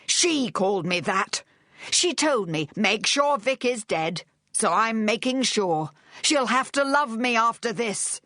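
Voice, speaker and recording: A woman voicing a deranged, frail, bitter man bent on revenge in an audiobook, snarling in furious, breathy rushes. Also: high voice, animated, sharp tone, dry recording, studio quality, pitched above the performer's own voice, exaggerated voice. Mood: anguished, bitter.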